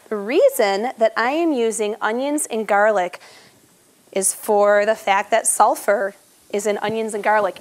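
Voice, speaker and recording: Chatty cooking teacher lady, thirties, talking right to camera on a lapel mic in a big kitchen. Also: mid-range voice. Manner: upbeat, chatty, addresses viewer directly. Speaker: cooking instructor. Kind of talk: cooking show audition demo. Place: large home kitchen, indoors.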